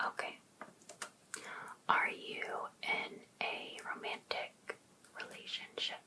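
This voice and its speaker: A woman playing an overbearing, obsessive female life coach in an ASMR roleplay, softly asking attentive questions with sweet encouragement. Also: natural voice, rough recording. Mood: attentive, encouraging. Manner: soft, unhurried, attentive questioning. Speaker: ASMR performer.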